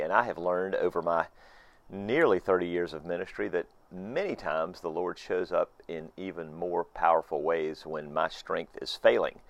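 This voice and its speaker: Hoarse pastor, late fifties, low and gravelly, reflective sermon with restarts, recorded on a porch. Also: male voice, no echo. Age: 50s. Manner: earnest, reflective, with frequent restarts. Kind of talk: informal sermon. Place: screened back porch.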